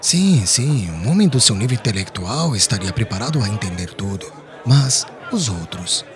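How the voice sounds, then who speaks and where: An actor playing a talkative, respectable older merchant in dramatized audiobook dialogue, speaking in an earnest and formal way. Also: male voice, lowish voice, sing-song, moderate pace, over music, a crowd in the background. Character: talkative, respectable older merchant worried about his brother.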